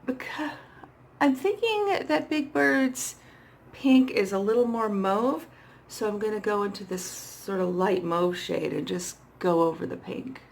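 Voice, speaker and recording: A middle-aged woman with a hoarse voice, chatting casually and playfully to herself as she demonstrates makeup, often poking fun at herself. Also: medium pitch, lively intonation, measured pace. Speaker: makeup vlogger. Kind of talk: casual makeup tutorial chat, talking to herself while working. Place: small indoor setup with dark backdrop.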